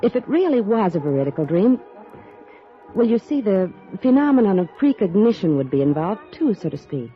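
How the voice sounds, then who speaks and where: A woman's voice: mid-range voice, big pitch swings, brisk, soft background music, close to an everyday voice. An actress playing a poised, earnest young woman, forward yet politely formal, in 1950s radio drama style. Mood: intent, curious. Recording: dull vintage radio recording, sometimes telephone.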